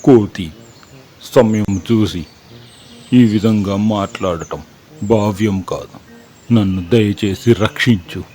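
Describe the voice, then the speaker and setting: A man voicing a proud lion king of the forest in a children's puppet show, with a slow, weighty delivery. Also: on the low side, mellow, faint music behind. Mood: pleading.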